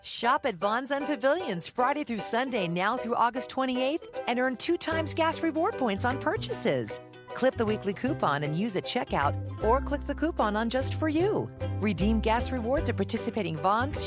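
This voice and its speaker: Lively woman reading a commercial. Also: fairly high pitch. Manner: brisk, polished promotional delivery. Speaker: ad announcer. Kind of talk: scripted commercial read. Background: music.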